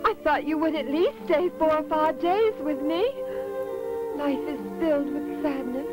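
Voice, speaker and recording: A woman playing a sorrowful, loving mother in an animated film, plaintive and emotional. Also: high-pitched, a little slow, poor audio. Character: sorrowful, loving mother parting from her child. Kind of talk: animated film melodrama dub. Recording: loud music under the voice. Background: loud music.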